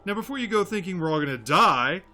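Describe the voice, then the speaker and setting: A man's voice: high voice, expressive, quick pace, bright, forceful tone, soft background music, exaggerated voice. A man playing a sardonic, world-weary agent who leads a resistance, speaking emphatically in an audio drama. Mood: sarcastic. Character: gruff, sardonic male agent leading a resistance.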